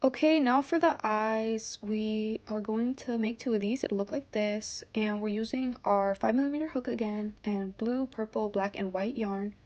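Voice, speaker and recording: A woman's voice: a little high, normal speed, hardly any pauses, muffled recording. A woman narrating a step-by-step craft tutorial, often counting aloud, as its host. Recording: soft music bed under voice. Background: background music.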